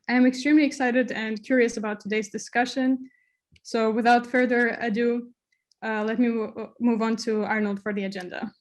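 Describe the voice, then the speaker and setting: Earnest woman from Azerbaijan moderating. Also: on the high side. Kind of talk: prepared introduction. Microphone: video call.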